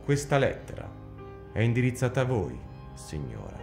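A jealous cruel husband. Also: male voice, mid-range voice, huge pitch swings, moderate pace, gravelly, over music. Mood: sneering. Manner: scornful, accusing. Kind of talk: radio drama acting. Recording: echoey community hall.

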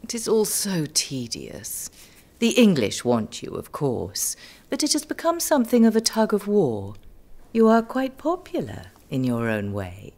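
A woman's voice: mid-range voice, wildly swooping pitch, measured pace, a little mellow, exaggerated voice. A woman playing a gracious, imperious noble duchess in an audio drama, with a genteel, coaxing manner.

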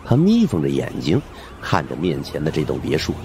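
A man's voice: very deep voice, wildly swooping pitch, brisk, creaky, dark tone, slight background noise. A man narrating an audiobook as a colloquial, conversational storyteller.

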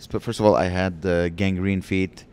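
A man, co-hosting a podcast and telling a story with lively, acted-out quotes, speaking into a handheld mic on a living-room couch. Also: low voice, moderate pace, clear, resonant tone. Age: 40s. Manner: animated storyteller, acts out quotes with big voice jumps. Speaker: podcast co-host. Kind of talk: casual comedic chat.

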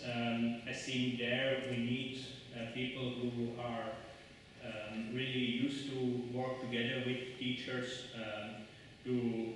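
Dutch-native guy giving a conference talk at a lectern, slow and flat, lots of ums, big echoey lecture hall heard from far off. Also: medium pitch, a little hiss or hum. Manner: hesitant, many ums and restarts. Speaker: conference speaker. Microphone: lectern mic, far camera.

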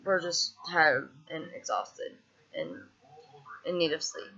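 A woman's voice: low-pitched, sing-song, slow, sharp tone, husky, highs cut off. A woman in her twenties with a Southern US twang, venting in a casual video diary with lots of fillers and restarts, recorded indoors on a laptop webcam. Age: 20s. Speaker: vlogger. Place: small indoor room, seated on a couch.

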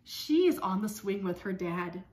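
A woman in her thirties leading songs and story time for young children, speaking in simple, repetitive, expressive phrases. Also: medium pitch, unhurried, roomy sound. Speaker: speech-language pathologist leading circle time. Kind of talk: children's songs and read-aloud lesson. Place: small room at home.

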